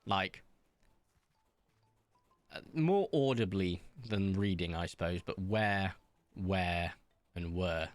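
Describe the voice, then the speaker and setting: A man streaming from home, chatting casually in a rambling, self-mocking way and pausing often. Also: sits low, no room sound. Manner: rambling, self-mocking, hesitant with many ums. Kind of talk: casual chat reading viewer comments while playing.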